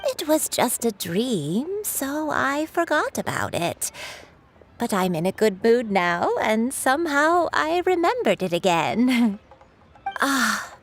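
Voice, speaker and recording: A woman voicing a gentle, forgetful elderly granny who wanders and muses in a video game. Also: a little high, big pitch swings, a little hiss or hum, full character voice. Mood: wistful. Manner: slow, wandering, musing.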